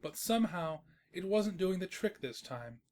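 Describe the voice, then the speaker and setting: A woman's voice: lowish voice, lively intonation, normal speed, darkish tone, a little hiss or hum. A woman narrating a scripted comedic story as its storyteller.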